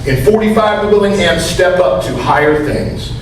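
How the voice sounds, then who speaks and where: A man's voice: high-pitched, expressive, moderate pace, recorded from a distance. A man reading a rhyming poem aloud in a church readers theater. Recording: echoey church hall from afar, faint noise.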